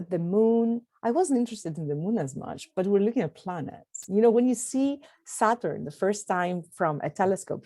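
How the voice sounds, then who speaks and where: A middle-aged woman from Greece with a Greek accent, answering interview questions thoughtfully with pauses and restarts. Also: on the low side, mellow. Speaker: guest astronomer.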